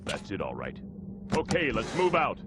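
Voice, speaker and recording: An actor playing a businesslike mercenary soldier, clipped and commanding, in cheesy video game voice acting. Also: male voice, lowish voice, huge pitch swings, normal speed, very noisy. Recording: loud game music over the voice.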